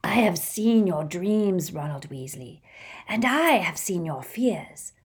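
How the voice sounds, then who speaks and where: A woman voicing an evil male spirit trapped in a locket, talking in a slow, cruel, hissing whisper. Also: lowish voice, lively intonation, slightly rough, heavily acted. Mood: menacing.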